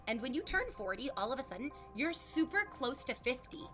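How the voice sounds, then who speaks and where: Woman in her thirties, fairly high voice, casual rambling stream chat, frank talk, faint music underneath. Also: sing-song, a little fast. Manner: rambling, frank, heavy use of "like".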